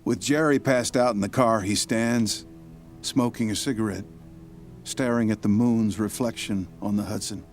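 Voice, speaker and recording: An actor playing the announcer of a period radio serial, narrating a scripted story. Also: male voice, fairly low pitch, steady tone, normal speed, faint music behind, close to an everyday voice. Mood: measured, dramatic.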